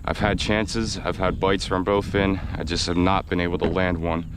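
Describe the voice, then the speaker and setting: An American man with a slightly raspy, worn voice, chatting excitedly while fishing from a kayak outdoors, and laughing often. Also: low voice, normal speed, noisy background. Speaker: fishing video host. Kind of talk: casual on-the-water vlog narration. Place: outdoors on a kayak in a windy swamp.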